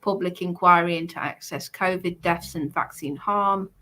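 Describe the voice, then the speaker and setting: A British woman presenting informally, reading a list aloud in a halting, explanatory way and adding casual asides. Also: fairly low pitch, fairly even intonation, pushed and bright. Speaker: presenter going through party policies.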